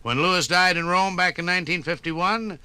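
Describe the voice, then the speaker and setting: A man's voice: high-pitched, normal speed, pushed and bright, smooth, dry recording. An American man delivering emphatic, oratorical scripted commentary for a 1950s radio documentary.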